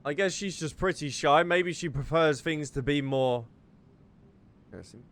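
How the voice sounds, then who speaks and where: A man's voice: on the high side, moderate pace, slight background noise. A man giving playful, teasing let's-play commentary as a gaming streamer. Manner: animated, teasing, breaks into giggles. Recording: faint game music behind.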